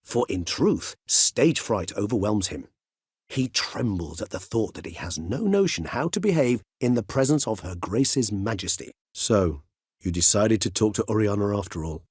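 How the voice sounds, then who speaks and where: Video game role: ancient gentleman vampire, low and slightly husky, courteous, learned, wryly melancholy, formal diction. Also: animated, no room sound, studio quality. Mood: gently wry. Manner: formal, eloquent, measured, wryly philosophical. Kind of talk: video game character dialogue.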